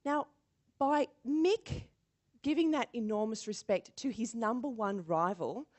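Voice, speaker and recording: A woman delivering a motivational keynote as an animated storyteller. Her voice sits a little high, and her pitch rises and falls in lively swings. She acts out quotes and laughs easily along the way. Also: a little slow. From born in Singapore. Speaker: keynote speaker.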